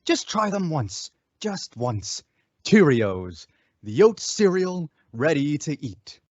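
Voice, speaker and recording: A man's voice: a little high, sing-song, slow pace, dry recording, full character voice. A young man playing an upbeat, persuasive announcer in a 1950s-style radio advertisement. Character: upbeat vintage radio cereal pitchman. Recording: dull, dry lo-fi recording.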